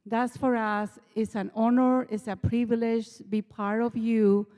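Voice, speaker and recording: A woman's voice: on the high side, level tone, dark tone. Bolivian-accented woman speaking earnestly in a hall. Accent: Bolivian Spanish. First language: Spanish. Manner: earnest, halting non-native English. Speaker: nonprofit executive director. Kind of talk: speech to a church assembly. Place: large church hall. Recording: long reverb of a large hall.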